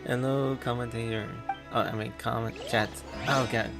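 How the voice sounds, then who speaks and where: A man's voice: medium pitch, flat delivery, moderate pace. A man chatting casually to livestream viewers in a hesitant, rambling, self-effacing way. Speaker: game livestreamer. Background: game music.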